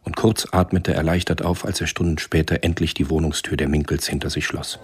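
Calm spy story narrator guy, low gravel-husky voice, measured radio drama storytelling. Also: full character voice. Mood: composed. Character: composed male narrator of a spy thriller.

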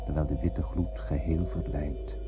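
An actor playing a haunted lone survivor of a space voyage, narrating solemnly and poetically in a radio drama. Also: male voice, very deep voice, little pitch movement, measured pace, over loud music. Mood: mournful, resigned. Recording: noisy, thin, phone-like old radio recording.

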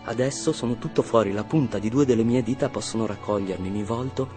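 A man's voice: fairly low pitch, quick pace, natural voice. A male actor plays a man reliving his childhood in a radio monologue. He tells it in short, repeated, vivid fragments, as if it were happening now. Faint music runs underneath. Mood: tense, vivid. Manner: fragmented, repetitive, vivid present-tense storytelling. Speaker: actor and narrator. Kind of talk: dramatic first-person radio monologue.

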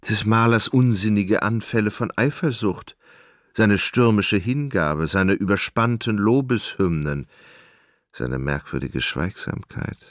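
A man narrating a literary radio drama in a measured, grave manner. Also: fairly low pitch, animated, dry recording, studio quality.

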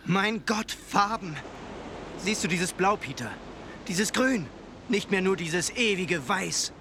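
An actor playing an enthusiastic young sailor, an exuberant optimist who speaks with excited, marvelling emphasis in an audio drama. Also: male voice, high voice, takes it slowly, noisy background, full character voice. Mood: awed delight.

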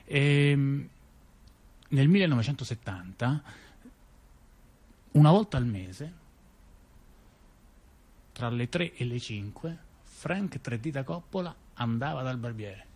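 A man's voice: mid-range voice, expressive, slow, long pauses, a little mellow, breathy edge. A man telling a long story in a theatrical radio dialogue, chatty and digressive, full of asides and fillers. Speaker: theater performer.

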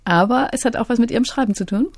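A woman hosting a radio interview, putting prepared questions in a clear, courteous manner. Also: on the high side, quick pace, no room sound, studio quality.

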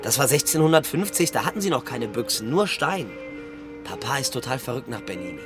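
A man's voice: medium pitch, big pitch swings, brisk, over music, lightly acted. An actor playing an earnest, helpful young man in a German radio drama, casual and eager to explain. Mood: eagerly explaining.

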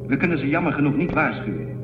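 A man playing a calm, factual ship's intelligence who reports formally in a science fiction radio play. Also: mid-range voice, fast talker, natural voice. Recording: echoey phone-like audio over music.

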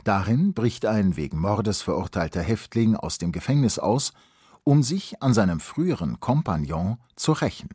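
A male radio announcer reads a scripted introduction. The voice is low, dark and husky, recorded very dry and close. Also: animated, moderate pace, dry recording.

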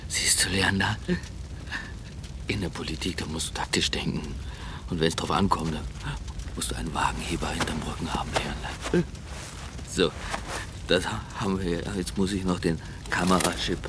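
A man's voice: sits low, steady tone, normal speed, pauses often, noisy background, lightly acted. An actor in a radio crime drama playing a vain, desperate middle-aged male politician, agitated and muttering in broken phrases. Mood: breathless muttering. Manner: agitated, fragmented, muttering to himself. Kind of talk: naturalistic German radio play acting.

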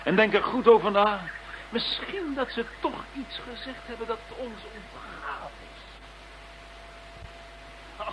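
An actor playing an anxious, irritable investigator in a radio play, agitated and often hesitating or repeating himself. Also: male voice, high-pitched, lively intonation, slow pace, long pauses, a bit bright, full character voice, rough recording. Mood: worried. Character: anxious, irritable male investigator, protective of colleagues. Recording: radio play with faint background noise.

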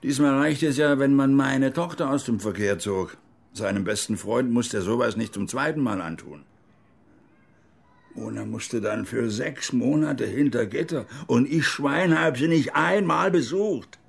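Gravelly, embittered older ex-officer. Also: male voice, medium pitch, a little slow. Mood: bitter. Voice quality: gravelly, husky. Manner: cynical, coarse, grudging. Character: embittered, gruff older male former East German army officer. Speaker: interviewee recounting his past. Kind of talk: naturalistic radio drama monologue.

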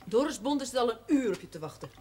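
An actress playing a fussy, scolding sister who keeps house for a chaplain, in a 1970s television drama. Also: female voice, a little high, very animated, fast, noisy background. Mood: reproachful.

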